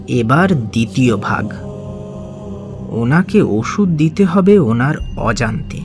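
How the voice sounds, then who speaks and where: A man playing a clever, determined young monk student in an audio drama, speaking earnestly and expressively. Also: mid-range voice. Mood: planning. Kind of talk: Bengali audio story acting. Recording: prominent music behind the voice.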